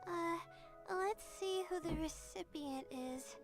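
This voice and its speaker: Very high-pitched timid student girl. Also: music in the background, exaggerated voice. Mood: confused. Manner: hesitant, halting, apologetic. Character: anxious, timid, bewildered young female student. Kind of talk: anime-style game voice acting.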